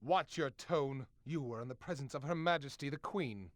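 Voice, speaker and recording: An actor playing a haughty, suspicious courtier in a fantasy video game, stern, formal and dismissive. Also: male voice, mid-range voice, normal speed, no echo, lightly acted. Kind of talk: scripted video game voice acting.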